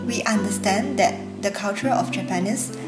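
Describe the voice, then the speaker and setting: A woman's voice: medium pitch, moderate pace, over loud music. A woman reading a scripted narration carefully and formally. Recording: echoey room.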